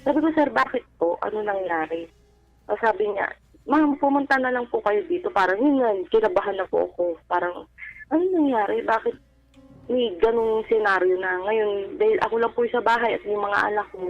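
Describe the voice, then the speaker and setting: A woman calling a radio show by phone, telling a personal story haltingly and politely, quoting dialogue as they go. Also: mid-range voice, soft background music. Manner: halting, polite, uses respectful "po" often. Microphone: telephone. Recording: dull, band-limited radio phone call.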